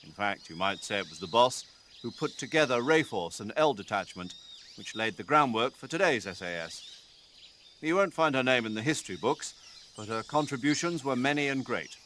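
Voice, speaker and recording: An authoritative middle-aged military commander voiced by a male actor with a mid-pitched voice. He briefs formally and earnestly in a video game, explaining each point, over faint background noise. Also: animated, moderate pace, fairly bright tone, close to an everyday voice. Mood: earnest briefing.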